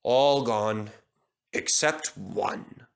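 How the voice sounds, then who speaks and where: Man playing a slow-spoken, kindly railway controller. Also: lowish voice, gravelly, full character voice. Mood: kindly, thoughtful.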